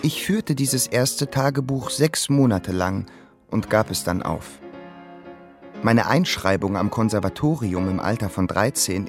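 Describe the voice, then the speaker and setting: An actor in a radio play playing a reflective composer speaking his diary in a measured, dryly observant way. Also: fairly low pitch. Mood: reminiscing.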